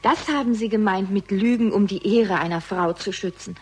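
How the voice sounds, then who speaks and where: A woman's voice: medium pitch, normal speed, a little mellow, slightly rough, close to an everyday voice. An actress playing a forthright, sceptical young small-town woman, direct and questioning, in a 1960s German radio crime drama. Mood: puzzled, probing. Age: young adult.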